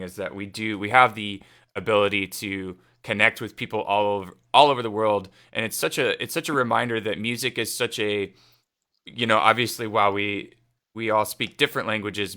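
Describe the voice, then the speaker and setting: Bright-voiced man hosting a livestream. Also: fairly low pitch. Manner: eager, rambling questions. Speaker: livestream host. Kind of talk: casual interview hosting.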